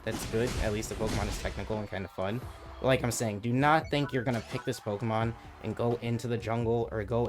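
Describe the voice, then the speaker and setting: A man hosting a gaming channel, talking loosely and casually about a game with a voice he says he is losing, filling his talk with restarts. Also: mid-range voice. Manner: relaxed, loose, lots of filler "like" and restarts. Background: background music.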